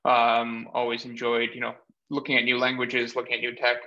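A man in his thirties from Bulgaria, explaining his background in a measured way with many pauses, speaking as a guest over a video call from a small room. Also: medium pitch, completely flat delivery, bright, forceful tone. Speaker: guest, startup cofounder. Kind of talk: remote interview. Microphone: webcam or laptop mic on video call. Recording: dull lo-fi video call audio.

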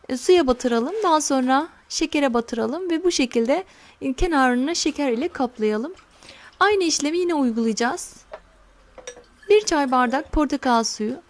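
A middle-aged woman hosting a home cooking channel, calmly talking viewers through a recipe step by step and addressing them warmly as friends. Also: high-pitched. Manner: slow, careful instructions. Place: home kitchen counter.

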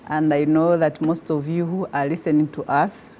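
A woman with a Ugandan accent, an education ministry official, calmly explaining things as a guest on a TV talk show. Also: sits low, moderate pace, slight background noise. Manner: calm, explanatory. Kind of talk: TV studio interview. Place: TV studio set.

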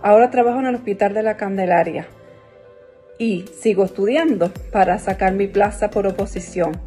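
A woman's voice: medium pitch, normal speed, mellow, soft background music. A woman in her thirties, a former student, speaking plainly and matter-of-factly. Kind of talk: casual testimonial.

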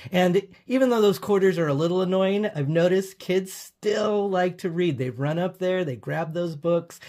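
A man's voice: fairly high pitch, a little mellow. A man speaking as a nonprofit guest on a video call, explaining things earnestly. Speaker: nonprofit representative, interview guest. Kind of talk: earnest explanatory interview talk.

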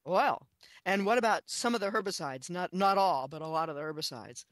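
A woman's voice: fairly low pitch, expressive, fairly quick, no room sound, studio quality. A woman speaking as an expert guest in an interview, talking with enthusiasm and emphasis, repeating phrases and posing questions. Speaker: guest, author and chicken expert.

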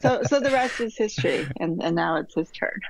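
A middle-aged woman from Taiwan, an architect answering interview questions in a thoughtful way, with many restarts and soft trailing fillers, heard over a video call. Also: mid-range voice, lively intonation, moderate pace, vocal fry, no echo, rough recording. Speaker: architect guest. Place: home room with bookshelves, remote call.

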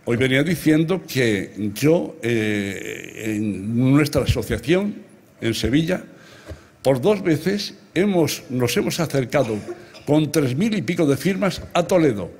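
Husky older Spanish man giving a speech. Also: medium pitch, lively intonation, slow pace, a little mellow. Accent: Spanish from Spain. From Extremadura, Spain. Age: 70s. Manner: emphatic, rhetorical, addressing listeners directly. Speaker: award recipient giving a speech. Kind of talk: ceremonial public speech. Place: large open-air ancient theatre stage at night, before a big audience. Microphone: lectern microphones. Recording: amplified outdoor speech with crowd sounds.

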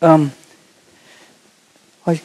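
A man's voice: extremely slow, a little mellow. A middle-aged man with a New Zealand accent, answering questions on a theatre stage after a keynote, thoughtful and often restarting. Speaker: keynote speaker. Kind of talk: conference Q&A answers.

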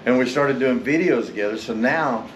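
A man in his fifties with a drawl talks in an easygoing, rambling way, often chuckling. His voice bounces around a big echoey garage. A steady hum of noise sits behind him. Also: mid-range voice, moderate pace, muffled recording. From Tennessee, US. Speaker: custom interior shop owner. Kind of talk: casual shop tour explanation. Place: large indoor garage workshop. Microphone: host's handheld camera.

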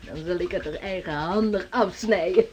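An actress playing a doting, teasing sweetheart of a sailor in a radio drama. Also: female voice, on the low side, normal speed, natural voice. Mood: teasing, bossy. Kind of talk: Dutch radio drama acting. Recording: muffled, noisy radio play recording.

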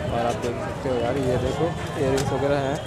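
A man's voice: mid-range voice, level tone, brisk. A man, chatting casually to his viewers as a vlogger. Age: 20s. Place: outdoors, selfie intro and open-air street market. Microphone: handheld camera or phone at arm's length.

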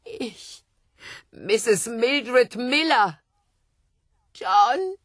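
A woman's voice: a little high, lively intonation, very slow, no echo, clean studio sound. An actress in a radio play voicing a self-loathing, taunting woman who pleads insistently, drifting from whispers to outcries. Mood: bitter, agitated. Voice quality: husky, breathy.

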